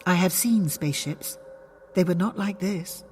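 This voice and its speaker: A woman's voice: fairly low pitch, normal speed, darkish tone, soft background music, lightly acted. A woman voicing a fierce, wary young warrior woman in an audiobook, speaking bluntly and formally. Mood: wary, grave. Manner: blunt, formal phrasing without contractions. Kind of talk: dramatic audiobook character dialogue.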